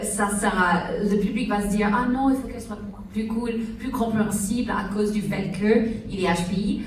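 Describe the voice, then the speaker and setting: A woman interviewing and interpreting guests' answers into French, with quick paraphrasing and fillers. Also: medium pitch. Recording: echoey room, distant mic, faint noise.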